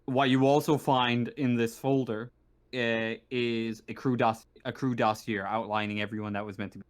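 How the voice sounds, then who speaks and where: Guy running an online rpg, halting and hesitant, medium voice, no room sound. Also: medium pitch, flat delivery, no room sound. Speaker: game master. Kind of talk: casual narration of an online tabletop game.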